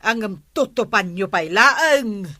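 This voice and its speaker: An actress with an Ilocano accent playing a concerned, excitable older village woman in a radio drama. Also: medium pitch, takes it slowly, heavy background noise. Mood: advising.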